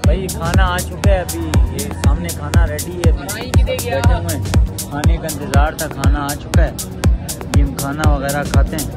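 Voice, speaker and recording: Young man vlogging over loud music. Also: on the high side, moderate pace. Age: 20s. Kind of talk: casual vlog chat with friends. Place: outdoors at night, street and open-air restaurant. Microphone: phone at arm's length.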